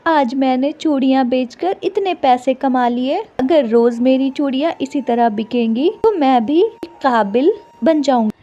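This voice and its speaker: Woman voicing a high, earnest cartoon bird seller. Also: unhurried, warm tone, dull audio. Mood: happy, hopeful. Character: humble female bird bangle seller, hopeful and sincere. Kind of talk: Hindi cartoon character voice.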